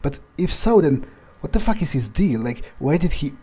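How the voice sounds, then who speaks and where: Husky, mellow man thinking aloud while gaming. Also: fairly high pitch, very animated, normal speed. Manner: hesitant, repeats words, swears casually. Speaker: gamer doing in-character playthrough. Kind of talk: improvised in-character game commentary, thinking aloud.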